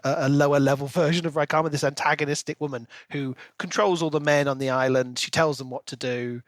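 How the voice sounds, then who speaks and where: A man's voice: mid-range voice, fairly bright tone, no echo. A man co-hosting a podcast, talking through a book in an articulate, reflective, conversational way. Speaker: podcast co-host. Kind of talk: book discussion, casual analytical chat.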